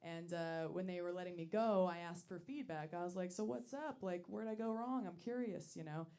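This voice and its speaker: Deadpan woman comic with a deep voice. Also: normal speed, clear, resonant tone. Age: late 20s. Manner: deadpan, self-deprecating, with many ums. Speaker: stand-up comedian. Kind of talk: stand-up set. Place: comedy club stage.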